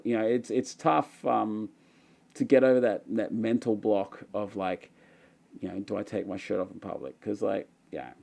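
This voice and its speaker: Casting: young Aussie bloke, raspy tired voice with gravel, dark tone, self-mocking live-stream host. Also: male voice, mid-range voice. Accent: Australian. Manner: self-deprecating, joking, rambling with many fillers. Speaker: livestream host answering chat questions. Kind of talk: casual live Q&A chat.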